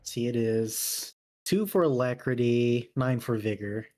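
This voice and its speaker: A man's voice: medium pitch, measured pace, dark tone. A man chatting casually and agreeably as a player in a tabletop game. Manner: agreeable, informal. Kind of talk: casual chat.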